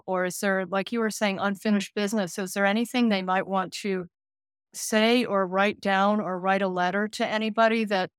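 A woman in her late sixties, a care manager and counselor, speaking gently and thoughtfully in an interview, often pausing to find her words. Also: mid-range voice, dry recording, studio quality. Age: 60s. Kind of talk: reflective interview conversation.

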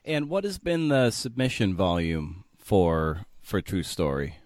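A man hosting a podcast, chatting casually and haltingly as he interviews a guest. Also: low voice, lively intonation, a little mellow, no room sound, clean studio sound.

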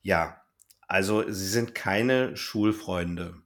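Low-voiced man chatting. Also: drawn-out words, fairly bright tone. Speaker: podcast host discussing an audio drama. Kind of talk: casual conversational review.

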